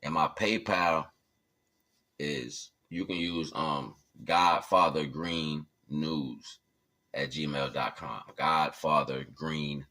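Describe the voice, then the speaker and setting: Real low voiced guy just chatting on his stream, slangy and informal, keeps checking in with whoever's watching. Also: steady tone, slow, bright, forceful tone. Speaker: livestream host and hobby musician. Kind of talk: casual livestream chat.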